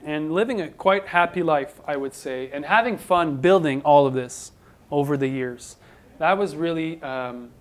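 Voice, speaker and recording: Man presenting to a crowd. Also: on the high side. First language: French. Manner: relaxed, conversational, with fillers and restarts. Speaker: farmer giving a talk. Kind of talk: conference presentation. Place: large indoor hall with audience. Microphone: handheld mic, far camera.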